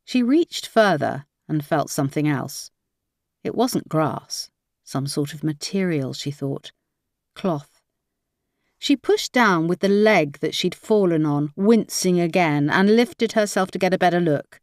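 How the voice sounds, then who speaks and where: A woman's voice: lowish voice, lively intonation, a little slow, darkish tone, no echo, studio quality. A woman reading a crime novel aloud as scripted audiobook narration.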